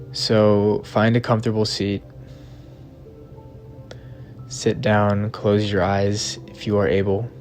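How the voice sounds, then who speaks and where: A man narrating a guided meditation in a measured, deliberate way, in short phrases separated by long pauses. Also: on the low side, darkish tone. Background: background music.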